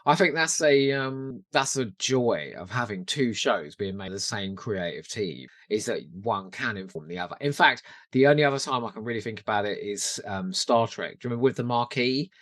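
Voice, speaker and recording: A British man chats casually as a podcast co-host, with lively, swinging intonation at a medium pitch. He is enthusiastic and giggly in manner. The sound is dry, with no room to it. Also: moderate pace, hardly any pauses, no room sound. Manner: animated, giggly, gushing enthusiasm. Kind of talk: casual pop-culture chat.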